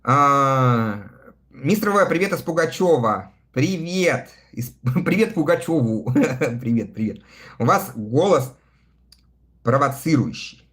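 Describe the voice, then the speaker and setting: A man's voice: medium pitch, expressive, slow, a bit bright. A man in his thirties chatting casually on a livestream, reading viewer comments aloud and answering them between laughs. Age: 30s. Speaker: live streamer reading viewer chat.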